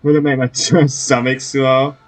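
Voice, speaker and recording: A man's voice: medium pitch, level tone, a little slow. A man giving casual gameplay commentary as he plays through a video game. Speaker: gaming video host playing through.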